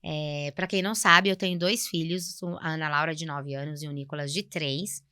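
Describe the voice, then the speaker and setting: A woman's voice: low voice, steady tone, normal speed, bright, forceful tone, smooth, no echo, clean studio sound. A woman with a Brazilian accent giving didactic, conversational explanations as a podcast guest, often acting out short example remarks. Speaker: guest nutritionist on a health podcast.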